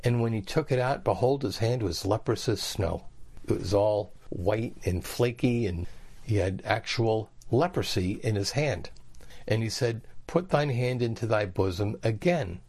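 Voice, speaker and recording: A man's voice: lowish voice, lively intonation, unhurried, darkish tone, slightly rough. A man reading scripture aloud and explaining it in plain, everyday words as a Bible teacher. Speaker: preacher.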